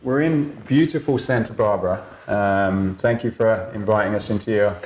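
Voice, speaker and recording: A man's voice: fairly low pitch, normal speed, music in the background. A man from England, hosting a podcast interview in a casual way and putting in many short agreements. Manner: friendly, lots of short agreements. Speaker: podcast host. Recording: roomy echo, faint noise.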